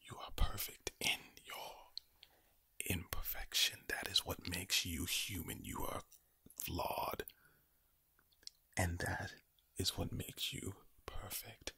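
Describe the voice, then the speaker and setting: A man with an Irish accent giving soothing ASMR affirmations in a low, breathy, gravelly whisper, slowly and deliberately, repeating his phrases. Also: steady tone, pushed and bright. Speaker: ASMR creator.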